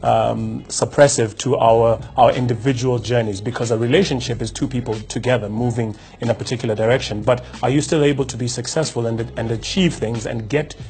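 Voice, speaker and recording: A man of middle pitch gives a motivational talk to camera. He speaks reflectively and often restarts his sentences as he turns over rhetorical questions. Faint music plays under his voice. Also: fairly even intonation, fairly quick, few pauses, darkish tone. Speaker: host.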